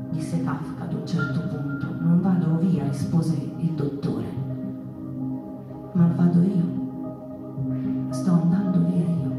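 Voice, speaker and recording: A woman giving scripted theatrical narration in a measured, literary storytelling manner. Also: on the low side. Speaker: narrator and stage performer. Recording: reverberant hall, slightly distant, music behind. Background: music.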